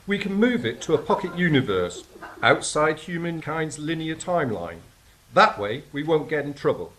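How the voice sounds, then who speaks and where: An actor playing a skeptical, wisecracking angel in a stage drama. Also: male voice, on the high side, big pitch swings, normal speed, a bit bright. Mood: scheming, flippant. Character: skeptical, wisecracking angel on celestial clean-up crew. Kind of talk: theatrical stage drama acting. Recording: clearly noisy stage recording.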